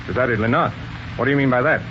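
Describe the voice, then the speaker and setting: An actor playing a brisk, suspicious, no-nonsense police sergeant in 1940s radio mystery style, with a businesslike, probing manner. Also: male voice, mid-range voice, natural voice. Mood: commanding, brisk. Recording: noisy dull 1940s radio recording.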